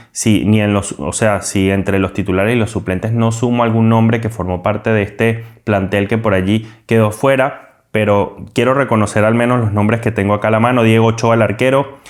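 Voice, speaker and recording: A man giving an enthusiastic, rambling football commentary, often repeating and restarting phrases. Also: on the low side. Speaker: football commentator and channel host. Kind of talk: casual sports commentary monologue.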